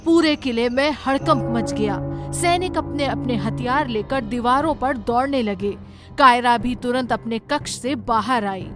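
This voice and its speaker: A woman giving scripted, dramatic narration of an animated story. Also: on the high side, a little slow, music in the background.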